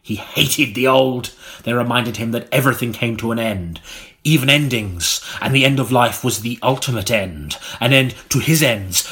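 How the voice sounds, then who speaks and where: A man narrates a gothic tale in a mock-serious audiobook style. His delivery is deliberate and melodramatic, and his voice sits at an average male pitch. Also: a bit bright. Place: recording studio.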